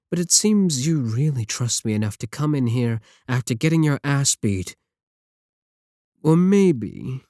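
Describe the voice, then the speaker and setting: A man's voice: mid-range voice, a little mellow, no room sound, studio quality. A man playing a sneering villain scientist. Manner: taunting, sarcastic, condescending. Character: mocking male villain scientist, arrogant. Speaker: voice actor in audio roleplay. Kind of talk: ASMR roleplay audio.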